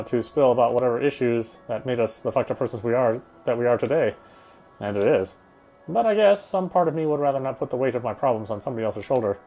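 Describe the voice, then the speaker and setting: A man's voice: mid-range voice, mellow. A man reading visual novel narration aloud, sometimes stumbling and going back over phrases. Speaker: game streamer reading aloud.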